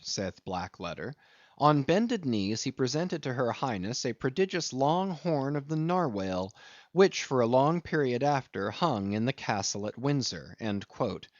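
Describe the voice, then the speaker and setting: A man reading a literary audiobook in a measured, expressive way with deliberate phrasing. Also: mid-range voice, dry recording, dull audio. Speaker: audiobook narrator.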